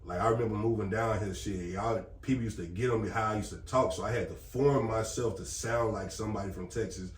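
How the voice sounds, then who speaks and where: A man's voice: fairly low pitch, fairly quick, hardly any pauses. A young man from Indiana, a podcast guest who runs a security company, telling his story in casual, profane chat. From Gary, Indiana, US. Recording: echoey room, mic at a distance.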